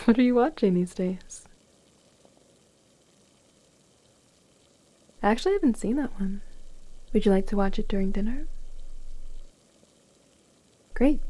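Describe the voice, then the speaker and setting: A woman plays a mature, affectionate woman in her forties, flirting gently in an ASMR roleplay. Her voice is mellow and mid-pitched, soft and breathy, often dropping close to a whisper. She talks intimately and takes her time. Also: natural voice, low-quality recording. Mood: warm, relaxed. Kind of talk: ASMR romantic roleplay, intimate one-sided dialogue.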